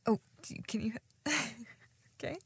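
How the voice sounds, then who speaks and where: A woman playing a shy, tender young woman in an intimate romantic audio roleplay, with soft breathy speech that often drops to a whisper and a halting, flustered, affectionate manner. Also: lightly acted. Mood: flustered, playful. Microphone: close microphone, dry.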